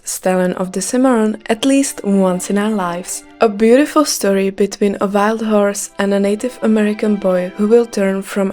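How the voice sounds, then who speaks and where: A woman's voice: medium pitch, a little mellow. A young Czech woman with a light Czech accent, reading a scripted gaming video with care. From Czech Republic. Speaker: gaming YouTuber.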